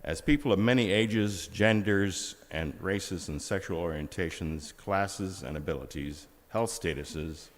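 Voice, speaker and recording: A man serving as worship associate, leading a church service with a measured, solemn reading. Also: low-pitched. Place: large church sanctuary. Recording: reverberant hall.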